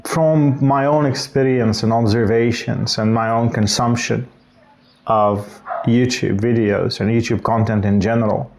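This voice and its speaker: A man's voice: medium pitch, fairly even intonation, unhurried, darkish tone, slight background noise. A man from Bulgaria, probably in his thirties, talking casually into the camera in a reflective vlog. He wanders through his thoughts and often corrects himself mid-sentence. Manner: reflective, rambling with restarts and self-corrections. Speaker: vlogger.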